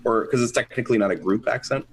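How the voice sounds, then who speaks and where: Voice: medium pitch, fast talker. A cast member chatting casually and checking in tentatively during a play reading, with faint background noise. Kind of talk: casual chat.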